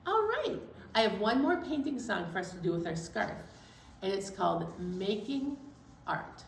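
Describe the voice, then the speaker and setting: A woman leading a children's story time, speaking to young children in an animated, encouraging way and asking them questions. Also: mid-range voice, a little slow, vocal fry. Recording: roomy echo, distant mic, faint noise.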